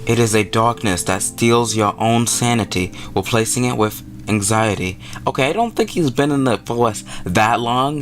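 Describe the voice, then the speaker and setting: A man's voice: mid-range voice, fairly bright tone, a little hiss or hum. A man hosting a gaming video, reading visual novel text aloud and adding wry, informal commentary.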